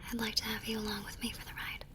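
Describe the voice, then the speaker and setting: A woman playing a tender, teasing young war nurse and sweetheart in an intimate ASMR romance roleplay, murmuring softly and breathily. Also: on the high side, completely flat delivery, racing, natural voice. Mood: hopeful, tender. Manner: soft, breathy, affectionate murmuring.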